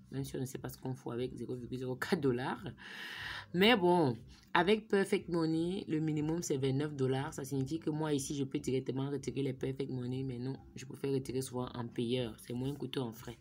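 A woman with a low, deep voice talks through a screen-recorded phone tutorial. She thinks aloud while typing in numbers, sometimes sinking to a quiet murmur. Her voice is picked up by a phone microphone, with a faint hiss behind it. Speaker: vlogger.

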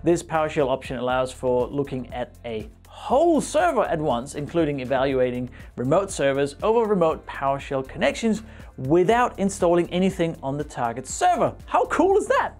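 Animated male tech news host, music behind. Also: a little high, normal speed. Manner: animated, playfully wry. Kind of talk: scripted tech news presenting to camera. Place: small indoor studio. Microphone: lapel mic.

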